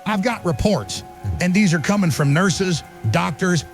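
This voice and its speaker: An actor playing an agitated, paranoid conspiracy broadcaster, delivering an urgent, insistent monologue full of rhetorical emphasis in an audio drama. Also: male voice, sits high, measured pace. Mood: ominous, insistent. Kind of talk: ranting conspiracy talk show monologue. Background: music.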